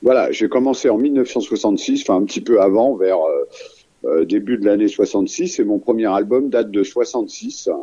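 An older man from France talks through a dull, muffled phone line as an interview guest. His voice is low and very dark, a little husky, and he keeps halting on fillers and restarting his sentences. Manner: hesitant, many fillers and restarts. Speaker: singer-songwriter guest.

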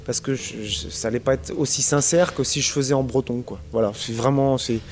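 A man's voice: mid-range voice, a little fast, slight background noise. A man in his thirties from Brittany, answering interview questions in a casual, hesitant way with many fillers and restarts. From Brittany, France. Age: 30s. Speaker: interviewed musician.

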